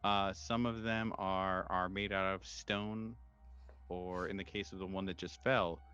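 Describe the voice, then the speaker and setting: A man running a tabletop horror game, improvising his narration in halting, hesitant phrases as game master. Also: sits low, steady tone, pushed and bright, clean tone, lo-fi sound. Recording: music behind the voice. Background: background music.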